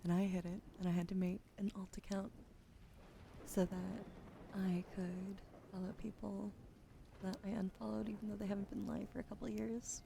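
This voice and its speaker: Whispering female ASMR streamer, crackly low-key voice. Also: flat delivery, moderate pace, mellow. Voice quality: breathy, whispery. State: weak, slightly wheezy throat. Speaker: streamer hosting a live ASMR broadcast. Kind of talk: ASMR, soft whispering and hushed chat to live viewers.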